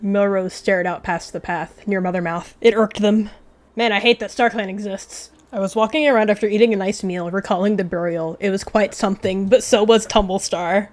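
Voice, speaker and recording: A woman's voice: mid-range voice, steady tone, brisk, hardly any pauses, darkish tone. A woman reading a roleplay story aloud from a game, casual, slipping between storybook narration and offhand asides. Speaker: video creator and roleplayer. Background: background music.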